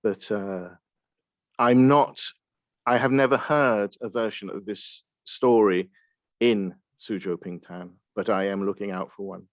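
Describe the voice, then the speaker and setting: Male scholar interviewed on a podcast, slightly deep, dry recording, hesitant explanatory delivery. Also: fairly even intonation, unhurried, dry recording, dull audio. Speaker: podcast guest, Chinese literature expert.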